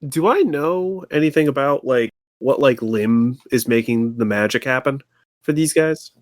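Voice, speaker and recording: A woman's voice: very deep voice, normal speed, a little mellow, no echo. A woman playing a tabletop game, chatting casually with dry, blunt banter.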